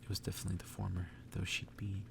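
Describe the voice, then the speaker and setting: A man softly reading a story aloud as ASMR, often breathy and half-whispered, with a gravelly fry. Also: low-pitched, completely flat delivery, fast talker, background noise. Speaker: ASMR reader. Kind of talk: soft ASMR reading of a visual novel. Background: music.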